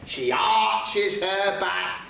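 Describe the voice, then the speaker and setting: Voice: mid-range voice, very slow, creaky, bright, forceful tone, exaggerated voice. A performer playing a brash, comic pantomime dame, a busy kitchen woman with a broad, chatty manner. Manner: broad, chatty, calls to the audience. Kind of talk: stage pantomime acting. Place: theatre stage, live amateur pantomime. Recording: distant, very echoey, noisy stage recording.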